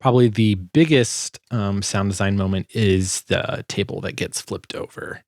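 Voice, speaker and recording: A man giving a casual tutorial as a sound designer, informal and halting as he thinks aloud. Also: fairly low pitch, darkish tone, dry recording, clean studio sound. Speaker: sound designer and video host.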